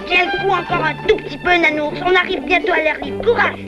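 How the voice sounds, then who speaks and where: A woman's voice: very high-pitched, fast talker, loud music behind the voice. A woman voicing a plucky, determined young boy beaver in a cartoon, heard on a dull old videotape soundtrack. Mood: plucky, encouraging. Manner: determined, eager, reassuring. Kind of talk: French-dubbed cartoon acting.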